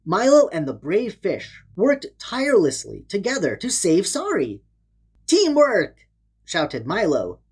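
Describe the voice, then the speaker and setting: A woman's voice: lowish voice, wildly swooping pitch, unhurried, few pauses, a little mellow, breathy edge. A woman reading a children's storybook aloud in a dramatic, theatrical way. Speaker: storybook narrator. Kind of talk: expressive children's read-aloud narration.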